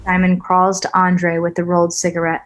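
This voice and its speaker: A woman reading screenplay scene directions aloud at a table read, in an even, matter-of-fact way. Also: on the low side, normal speed. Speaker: stage-direction reader.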